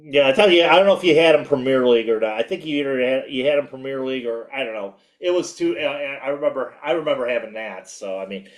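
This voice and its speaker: A man with a big, projecting voice co-hosting a casual sports recap, halting and repeating his phrases as he goes. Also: mid-range voice. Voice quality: loud, projecting voice. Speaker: sports show co-host.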